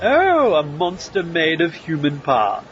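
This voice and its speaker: Man acting a slow, haughty science-fair judge. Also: on the high side, wildly swooping pitch, lo-fi sound, over loud music, full character voice. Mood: mocking interest. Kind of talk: comic audio drama acting.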